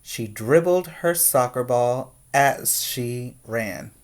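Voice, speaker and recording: A male teacher reading a picture book aloud to preschoolers, pausing to ask the children questions. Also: mid-range voice, expressive.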